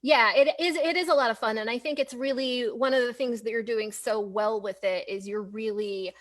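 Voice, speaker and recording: A woman's voice: on the high side, moderate pace, a bit bright. A woman hosting an informal conversational interview, repeating phrases for emphasis as she talks. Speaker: interviewer, host.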